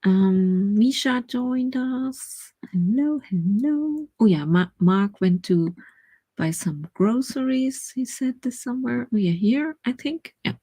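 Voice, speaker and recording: A woman's voice: a little high, expressive, slow pace, dark tone, breathy edge, dry recording. A middle-aged German woman with a German accent, chatting casually and rambling as she hosts a writing livestream, often laughing.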